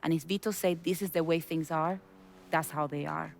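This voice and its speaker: A woman's voice: low-pitched, fairly even intonation, moderate pace, creaky, slight background noise. A woman, voicing a tough smuggling boss in a video game, blunt and businesslike. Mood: earnest. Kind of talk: video game cutscene acting.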